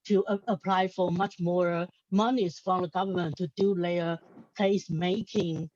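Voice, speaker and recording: A woman's voice: fairly low pitch, fairly even intonation, unhurried, a little mellow, dry recording, highs cut off. A woman from Taiwan with a Taiwanese accent speaks hesitantly as a panelist, repeating words as she works out her thoughts. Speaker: symposium panelist. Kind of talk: unscripted panel discussion.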